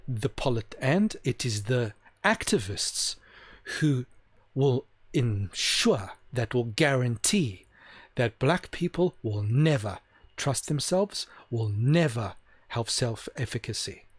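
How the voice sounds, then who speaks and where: A man's voice: medium pitch, expressive, breathy edge, dry recording. A man giving an opinionated solo monologue to camera, emphatic and repeating his points for stress. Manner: slows down to stress key words. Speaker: video host.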